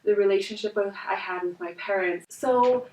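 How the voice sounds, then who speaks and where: A woman in her twenties from India, a native Hindi speaker, telling her life story as a life coach in an earnest, reflective way with soft pauses. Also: mid-range voice. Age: 20s. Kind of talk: personal storytelling vlog. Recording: roomy echo, voice from a distance.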